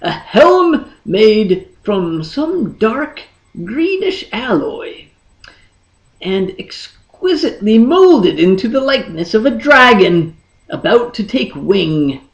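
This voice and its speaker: A storyteller reading a fantasy tale in a dramatic, deliberate manner with lingering pauses. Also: mid-range voice, very animated, lo-fi sound. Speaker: audiobook reader. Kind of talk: dramatic scripted fantasy narration.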